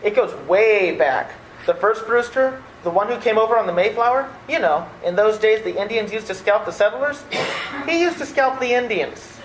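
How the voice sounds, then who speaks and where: A woman's voice: mid-range voice, lively intonation, normal speed, close to an everyday voice. A woman playing an exasperated, sardonic young man in an old-time radio play. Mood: flustered, frantic. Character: exasperated, sardonic young male theatre critic. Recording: echoey room, faint noise.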